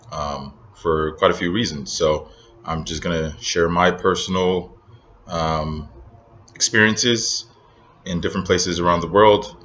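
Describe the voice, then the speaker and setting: Deep-voiced man with an American accent. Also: fairly bright tone, dull audio, slight background noise. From Nigeria. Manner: reflective, many ums and pauses. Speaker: vlogger. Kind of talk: casual personal vlog.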